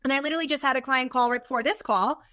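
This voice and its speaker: Earnest, higher-voiced woman chatting informally. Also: rapid-fire, no echo, studio quality. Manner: earnest, animated self-quoting, informal. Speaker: podcast guest, business consultant. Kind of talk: casual conversational interview.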